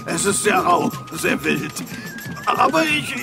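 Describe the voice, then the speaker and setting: An actor playing a courteous, guarded alien host in a sci-fi audio drama, with formal, polite phrasing. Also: male voice, sits high, sing-song, slow, over loud music, full character voice.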